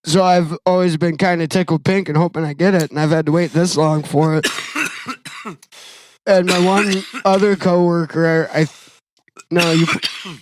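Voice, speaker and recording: A man in his thirties rambles through a casual podcast chat, poking fun at himself. His voice sits a little high, and it is recorded dry, with no room sound. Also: clean tone, dry recording. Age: 30s. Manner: rambling, self-deprecating, laughs at himself. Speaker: podcast co-host.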